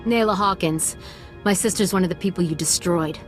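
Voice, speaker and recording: A woman's voice: on the low side, a little fast, faint music behind, lightly acted. An actress voicing a cold, determined, vengeful woman in a video game. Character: vengeful woman hunting her sister's killer, cold and determined.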